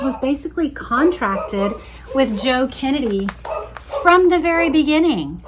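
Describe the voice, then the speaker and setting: A woman hosting a casual read-along, commenting conversationally and musingly as she reads aloud and talks to her viewers. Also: high voice. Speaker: host reading and commenting on an old magazine.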